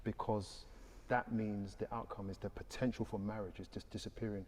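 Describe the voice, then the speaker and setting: A man's voice: fairly low pitch, flat delivery, moderate pace, darkish tone, breathy edge. A British man hosting a casual panel discussion, upbeat and conversational, asking questions. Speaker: podcast host. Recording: hall reverb.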